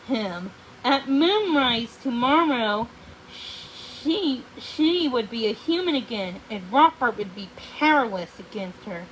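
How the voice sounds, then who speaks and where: A woman narrating a storybook aloud, haltingly, often stumbling and going back over phrases. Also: a little high, a bit bright, a little hiss or hum, poor audio.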